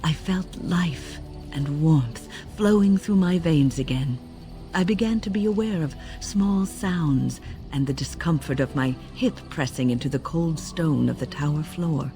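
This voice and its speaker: A woman's voice: low-pitched, dark tone, faint music behind. An older actress playing a wise, composed mage woman, reflective and formal, in a video game. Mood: grave, reflective. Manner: measured, reflective, formal storytelling. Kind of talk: dramatic video game dialogue.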